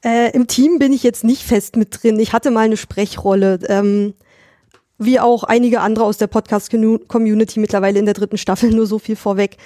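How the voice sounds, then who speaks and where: Woman podcast host asking stuff, mellow voice, dry sound. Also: on the high side, fairly even intonation, a little fast, no room sound. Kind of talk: casual interview.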